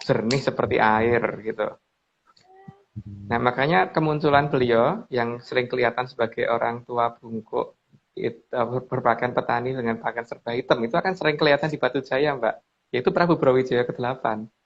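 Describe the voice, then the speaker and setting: Male presenter, mid pitch, gravelly, rambling explainer; muffled low-fi recording. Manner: rambling, with restarts and addressing the reader as Mbak. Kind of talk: casual spoken commentary on slides. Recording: dull lo-fi recording.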